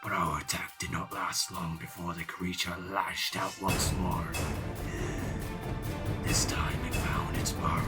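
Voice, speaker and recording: An actor playing an aging, boastful pirate captain who tells his tales in a grave, theatrical, rambling way for an audio drama. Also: low voice. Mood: regretful. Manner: theatrical, deliberate, archaic seafaring speech.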